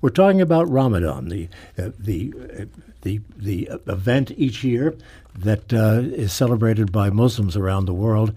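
A man hosting a live radio panel interview, speaking in a halting way with repeated words. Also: on the low side, lively intonation, dark tone, husky. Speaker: radio talk show host. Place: radio studio.

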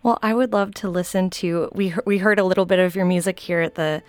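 A woman radio host interviewing a guest with a clear, steady delivery. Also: on the low side, fast talker, no echo, faint music behind.

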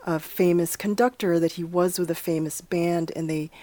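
A woman in her fifties from the Boston area, an academic guest telling a family story in a lively, conversational way, with fillers and jumps in pitch for emphasis. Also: moderate pace, no room sound. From Boston area, USA. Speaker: guest, ethnomusicologist and author.

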